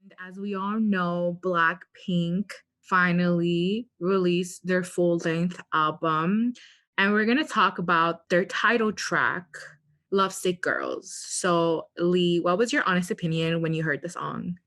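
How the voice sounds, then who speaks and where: A woman with a somewhat low-pitched voice co-hosts a podcast. She talks in a casual, conversational way and shares her opinions openly. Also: takes it slowly, a bit bright. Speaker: podcast co-host.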